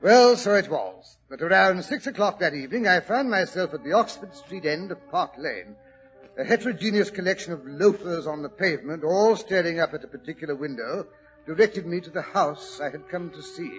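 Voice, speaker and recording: An actor playing a polite, somewhat hesitant middle-aged doctor who narrates in a 1940s radio drama. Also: male voice, fairly high pitch, lively intonation, a little fast, close to an everyday voice, poor audio. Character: middle-aged male doctor, Holmes' friend, genial. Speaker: narrator and lead.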